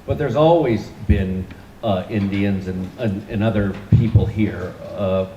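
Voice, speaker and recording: A man's voice: lowish voice, a little slow, dark tone, reverberant, dull audio, a little hiss or hum. A man, an author and guest from Northern California, talking onstage in an easy, joking way with many fillers. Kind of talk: onstage conversation. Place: event hall with an audience.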